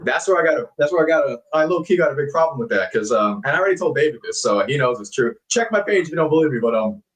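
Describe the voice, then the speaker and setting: Chatty self-deprecating man guest, fairly high voice. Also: animated, rapid-fire, poor audio. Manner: hesitant, many fillers and restarts. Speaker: guest actor. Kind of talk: casual interview chat.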